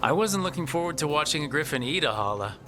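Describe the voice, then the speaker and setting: An actor playing a good-natured, dryly joking hero in a fantasy video game, with natural delivery. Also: male voice, fairly high pitch, lively intonation, fast, close to an everyday voice. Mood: wry. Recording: game music behind the voice.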